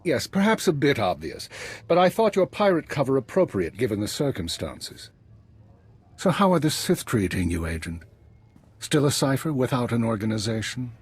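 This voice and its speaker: An actor playing an older, retired spymaster in a video game, composed and dry, with measured formal phrasing. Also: male voice, medium pitch, very animated, slightly rough. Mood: dry, guarded.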